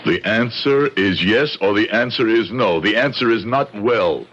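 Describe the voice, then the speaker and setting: An actor playing a grieving immigrant father set on revenge in an old radio drama, speaking in solemn, deliberate, formal phrases. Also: fairly low pitch, expressive, a bit bright. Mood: grimly determined. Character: grieving immigrant father, shoe repairman, bitter and bent on revenge. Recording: dull, noisy old radio broadcast.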